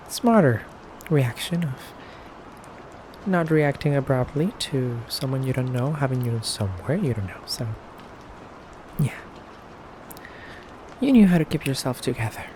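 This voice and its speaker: Man acting as a slow-talking, teasing vampire captor. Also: mid-range voice. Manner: slow, hesitant, teasing, quietly threatening. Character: soft-spoken menacing beautiful male vampire captor, teasing interrogator. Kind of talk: ASMR roleplay addressed to the listener. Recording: clearly noisy background ambience.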